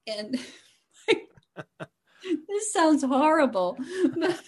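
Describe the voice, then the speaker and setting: A woman guest storyteller sharing casual personal anecdotes in an animated, humorous way from her home. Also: sits high, very slow.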